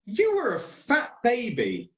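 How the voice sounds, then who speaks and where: Guy doing a doting mum, high singsongy cooing voice, comic story, echoey slightly muffled room. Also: huge pitch swings, takes it slowly, heavily acted. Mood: breaking news gently. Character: storyteller's doting, matter-of-fact mother. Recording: roomy, slightly muffled online call.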